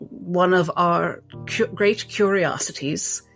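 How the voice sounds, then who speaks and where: A woman playing a polite, precise female doctor who lectures formally and haltingly in a tabletop game. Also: on the low side, a bit bright, faint music behind. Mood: formal lecturing. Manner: formal, deliberate, hesitant teaching tone.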